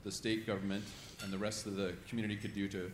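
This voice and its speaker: A man's voice: on the low side, little pitch movement, fast, reverberant, a little hiss or hum. A man with a Canadian accent asks a panel a question in a chatty, self-deprecating way. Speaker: audience member asking a question. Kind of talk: casual spoken question at a panel.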